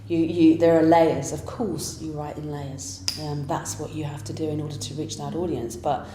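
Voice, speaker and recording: A British woman with a very low, warm and mellow voice makes her points with firm emphasis in a relaxed conversation. Her voice carries a roomy echo from the space. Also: normal speed, a little hiss or hum. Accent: British English. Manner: emphatic, firmly opinionated. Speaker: pantomime writer and director. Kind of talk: casual conversational interview.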